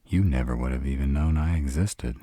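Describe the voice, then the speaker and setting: Sardonic male vampire , played by a man for roleplay audio: husky, slow-paced, dramatic and grumpy, recorded close and dry. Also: a bass voice, warm tone, dry recording. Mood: apologetic, earnest. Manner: sarcastic, grumbling, dramatic. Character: sarcastic irritable male vampire, stranded in a human's home.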